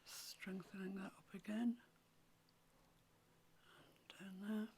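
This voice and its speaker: A woman with a croaky voice, teaching a watercolour painting tutorial in soft, often half-whispered instructions. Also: medium pitch, little pitch movement, takes it slowly, slight background noise. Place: home room with a mantelpiece.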